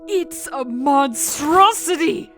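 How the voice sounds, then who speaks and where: A disapproving goddess in an audio drama. Her high voice is expressive yet slow-paced, urgent and scolding, with music underneath. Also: heavily acted. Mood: appalled. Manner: urgent, admonishing. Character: stern, disapproving goddess urging duty and sacrifice.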